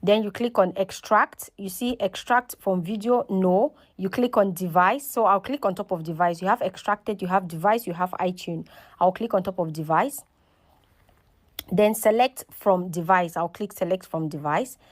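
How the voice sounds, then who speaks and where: A woman's voice: medium pitch, normal speed. Woman giving a casual step-by-step tutorial. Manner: instructional, often checks in with okay and right. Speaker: tutorial host.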